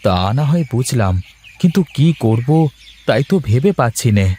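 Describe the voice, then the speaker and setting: A man's voice: mid-range voice, sing-song, normal speed, a little mellow, breathy edge. A man voicing a weary, worried but proud working husband in a cartoon, with a homely, complaining delivery. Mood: weary, grumbling. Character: weary, worried married office worker, proud and plain-spoken. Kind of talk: Bengali cartoon voice acting.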